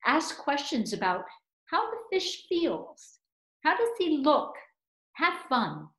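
A woman's voice: high-pitched, very animated, takes it slowly, a bit bright. A woman in her fifties presenting and reading for young children, with dramatic, emphatic phrasing, recorded in a room at home. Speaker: storyteller and presenter. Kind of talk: expressive storytelling for young children. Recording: roomy indoor echo.

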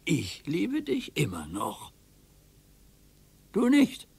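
Old retired husband, radio play, slow husky voice, plaintive n nostalgic. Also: male voice, a little high, huge pitch swings, a little mellow, heavily acted. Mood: pleading. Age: older. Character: bored, sentimental old retired husband, needy.

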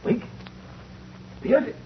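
A man's voice: extremely slow, slight background noise. An actor playing a frightened, bewildered male doctor, exclaiming in 1930s radio melodrama style. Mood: stunned. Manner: alarmed, exclaiming. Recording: muffled, echoey, distant old radio recording.

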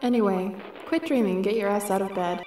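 A woman's voice: medium pitch, a little fast, close to an everyday voice. A woman voicing a blunt, scornful young woman in a comic dub. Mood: scornful, impatient. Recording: roomy, distant, music behind.

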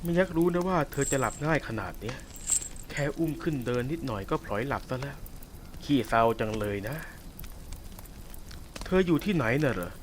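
A man voicing a sadistic, possessive werewolf who taunts a captive listener in a roleplay audio drama. He speaks softly and teasingly, with a menacing edge. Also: medium pitch, expressive, normal speed, pauses often, slight background noise, natural voice. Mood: taunting.

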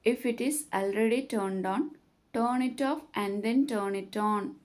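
A woman reading scripted step-by-step tutorial narration in a measured, instructional manner. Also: on the high side.

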